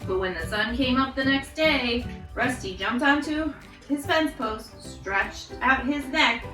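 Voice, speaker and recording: A woman reads a picture book aloud to children, encouraging and expressive. Her bright, high voice moves up and down a lot, recorded in a roomy space away from the mic with music behind. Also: unhurried, far from the mic. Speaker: storytime host reading picture books. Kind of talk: animated read-aloud to children.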